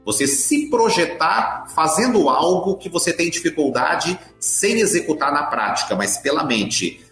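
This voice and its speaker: A man's voice: fairly high pitch, sing-song, a little slow, a bit bright. A middle-aged man with a Brazilian Portuguese accent, telling his story as an interview guest in an emphatic way, repeating words for stress. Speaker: podcast guest, author and speaker. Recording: roomy echo with faint music.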